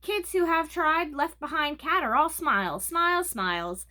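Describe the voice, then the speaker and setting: A woman's voice: high voice, animated, normal speed, pitched above the performer's own voice. A woman voicing an earnest, bold yet easily flustered eight-year-old girl in a storybook reading. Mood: performing, flustered. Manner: loud, clear declaiming when performing.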